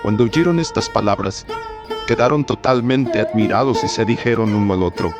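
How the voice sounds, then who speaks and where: A man reads a scripted tale as its narrator. His voice is fairly low, and his storytelling is measured and formal. Faint music plays behind him.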